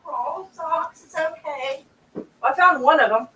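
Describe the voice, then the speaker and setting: Woman, stream host, chatty and laughing, voice a touch high. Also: slow pace, pushed and bright, a little hiss or hum, poor audio. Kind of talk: casual livestream chat.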